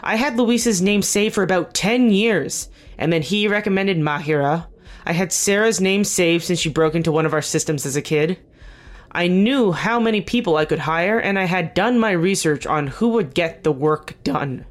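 An actor playing a weary, guarded veteran team leader in an audio drama, speaking earnestly and haltingly with dry wit. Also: medium pitch, natural voice. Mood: dry, defensive. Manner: earnest, halting, self-correcting, dryly wry. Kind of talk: naturalistic audio drama dialogue.

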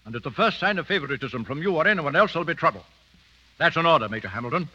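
An actor playing a stern, rigid older British colonel, clipped and commanding, in a 1930s radio drama. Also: male voice, a little high, expressive, rapid-fire, loud music behind the voice, natural voice. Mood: indignant, bitter. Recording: dull vintage 1930s radio recording.

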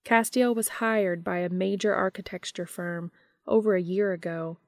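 A woman's voice: medium pitch, fairly even intonation, normal speed, darkish tone, no echo, clean studio sound. A woman hosting a podcast, reading a story aloud in scripted audiobook-style narration. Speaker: podcast host reading fan fiction aloud.

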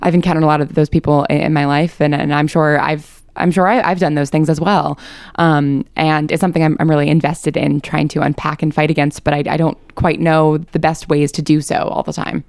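Woman, interview guest, low-pitched voice, earnest and thoughtful, dry close sound. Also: little pitch movement, brisk, hardly any pauses, darkish tone, dry recording. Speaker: interview guest, audio drama writer. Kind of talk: conversational interview discussion.

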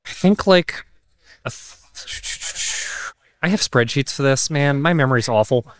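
A man chatting casually at a meetup, telling stories in an animated, self-deprecating way. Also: medium pitch, a little slow, no room sound. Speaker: meetup attendee. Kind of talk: casual group conversation. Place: indoor event space.

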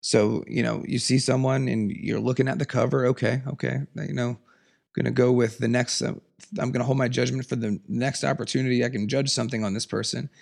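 Man, podcast host, hoarse from a cold, heavy vocal fry, earnest and emphatic. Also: level tone, fairly quick, a little mellow. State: raspy from a cold. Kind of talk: solo podcast monologue. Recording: lower audio quality.

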